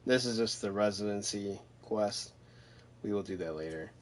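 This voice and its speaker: A man's voice: fairly low pitch, moderate pace, slight background noise. A man giving casual, wry, mildly sarcastic commentary as he plays through a game. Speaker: gamer narrating own playthrough.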